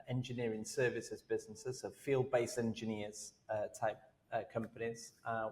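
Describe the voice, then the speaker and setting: Podcast host guy, friendly, lots of ums and uhs, mid voice, room reverb around him. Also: medium pitch. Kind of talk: podcast interview.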